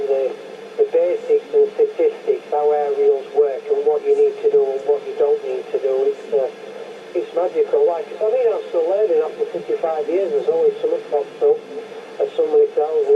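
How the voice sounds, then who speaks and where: A man's voice: on the high side, level tone, fairly quick. Older Northern English man on noisy CB radio. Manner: emphatic, rambling, repeats himself. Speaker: radio hobbyist. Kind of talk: casual chat over CB radio. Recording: muffled CB radio transmission with loud noise.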